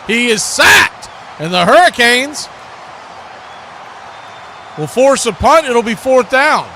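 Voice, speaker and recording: A man doing live play-by-play commentary of an American football game as the announcer. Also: high voice. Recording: loud background noise.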